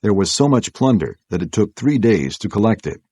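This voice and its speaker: Low husky guy narrating scripture, measured and solemn, dry studio sound. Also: very animated, warm tone, dry recording. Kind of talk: scripted narration of an audio Bible.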